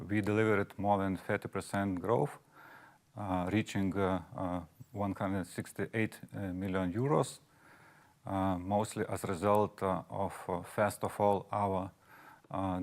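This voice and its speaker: A man delivers financial results formally, as a finance chief would. He moves through the material haltingly, with many small hesitations between phrases. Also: fairly low pitch.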